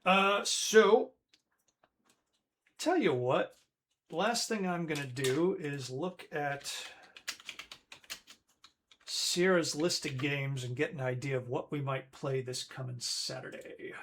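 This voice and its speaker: A man's voice: fairly high pitch, takes it slowly, pauses often, a bit bright, slight background noise. A man, hosting a retro game stream in a rambling, chatty way that keeps correcting itself. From Northern Ireland. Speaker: streamer host.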